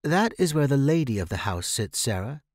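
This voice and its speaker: A man's voice: mid-range voice, sing-song, normal speed, no room sound, studio quality, voice pushed up. A man voicing a stern, imperious woman who runs a household in a story, speaking curtly. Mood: stern, commanding. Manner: curt, imperious, growling. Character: stern, commanding older woman running a household.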